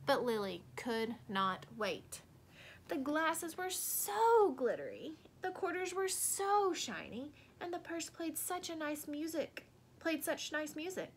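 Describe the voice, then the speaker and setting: A woman reading a children's storybook aloud expressively, with deliberate dramatic emphasis. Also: sits high, big pitch swings, a little hiss or hum. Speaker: storybook reader.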